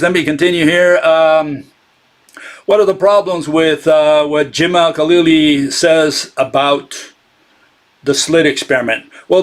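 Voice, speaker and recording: A man hosting a casual live-streamed talk, sarcastic and chatting with his viewers as he goes. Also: on the high side. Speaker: channel host giving a critique.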